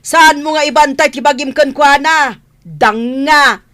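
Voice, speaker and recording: A woman's voice: sits high, unhurried, fairly bright tone. A woman with an Ilocano accent acting an imperious, nagging mansion madam and mother in a radio comedy drama. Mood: bossy, scolding.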